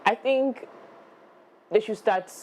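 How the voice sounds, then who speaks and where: A woman co-hosting a radio show with a Ghanaian English accent, talking in a blunt, teasing, animated way. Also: medium pitch, takes it slowly. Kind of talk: casual chat. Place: radio studio.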